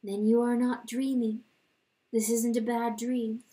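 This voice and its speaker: A woman voicing a gentle, compassionate hen in a storybook reading, soft, tender, soothing and patient. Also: on the high side, level tone, a little slow, breathy edge. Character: gentle compassionate mother-like hen, calm wife.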